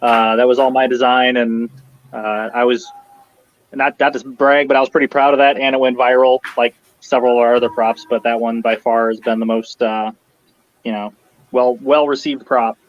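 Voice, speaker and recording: A man who designs props, guesting on a casual interview over a video call and telling stories in a relaxed way. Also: mid-range voice, moderate pace, dry recording, highs cut off. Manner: relaxed storytelling, laughing easily.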